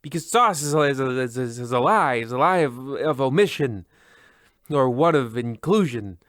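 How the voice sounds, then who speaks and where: A man's voice: medium pitch, animated, normal speed, a bit bright, no room sound, clean studio sound, close to an everyday voice. A man playing a pompous, fussy host of a mock old-time radio horror play, with florid, theatrical delivery. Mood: petulant, indignant. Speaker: narrator and host.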